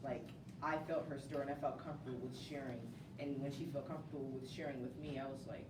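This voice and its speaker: A roomy recording with clear noise and a distant mic holds a low-voiced woman. She chats casually as an interview guest and acts out quoted lines as she tells her story. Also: fast talker. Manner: animated storytelling with acted-out quotes. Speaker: stage cast member as interview guest. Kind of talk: casual chat.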